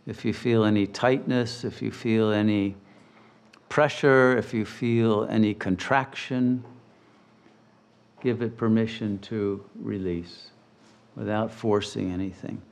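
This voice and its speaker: A man leading a guided meditation as a teacher, speaking in measured, deliberate, soothing phrases with long pauses. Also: fairly low pitch, steady tone, vocal fry.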